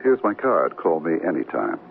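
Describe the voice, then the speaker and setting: An actor playing a stuffy, gentlemanly bachelor in an American radio comedy, with a rough, husky voice and pompous, courtly speech. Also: male voice, a bass voice, wildly swooping pitch, moderate pace, full character voice. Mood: courtly, boastful. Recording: muffled band-limited radio recording.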